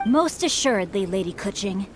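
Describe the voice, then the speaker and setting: A woman's voice: medium pitch, lively intonation, normal speed, noisy background, natural voice. A woman voicing a courteous, chivalrous young noble boy in a video game, with polished, formal, wordy speech. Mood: gracious.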